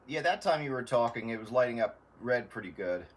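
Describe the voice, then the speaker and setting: A man in casual radio chat, explaining things in a halting, tentative way as an amateur operator. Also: medium pitch, expressive, heavy background noise.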